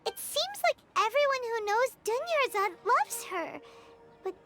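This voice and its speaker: A woman voicing a small, childlike fairy companion in a video game, squeaky and perky, curious and a bit bossy. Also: measured pace, exaggerated voice. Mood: pondering. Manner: perky, expressive. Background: music.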